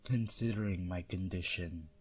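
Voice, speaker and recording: A man voicing a courteous, lonely vampire in an ASMR roleplay, speaking softly, formally and hesitantly in a breathy voice. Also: low voice, moderate pace, soft background music.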